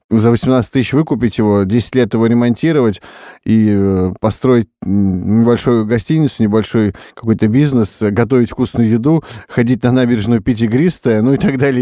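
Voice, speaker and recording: A man's voice: medium pitch, mellow, dry recording, studio quality. A man hosting a radio talk show, asking long rambling questions and laughing often. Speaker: radio host.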